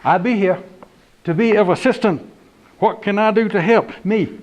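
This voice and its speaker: A man's voice: high voice, normal speed, dull audio, pitched above the performer's own voice, close to an everyday voice. A man voicing a bumbling, easily scared male deputy sheriff in broken, drawling English. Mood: formal.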